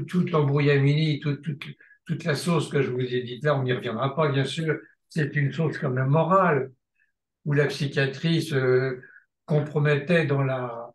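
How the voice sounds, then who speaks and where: A man lecturing at a seminar in a reverberant room, with a middle-pitched voice. He thinks aloud, restarts his phrases and pauses on filler sounds, then drives a point home by repeating it. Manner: hesitant, many restarts and filler sounds, emphatic repetition. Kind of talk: spontaneous seminar teaching.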